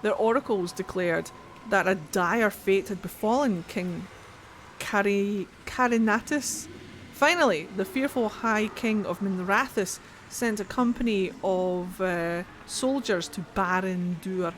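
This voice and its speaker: A woman's voice: mid-range voice, slow, a little hiss or hum, rough recording. A woman with a Scottish accent streaming a game, reading in-game lore aloud and chatting casually with her viewers, swearing freely. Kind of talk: casual gameplay commentary and dramatic codex reading.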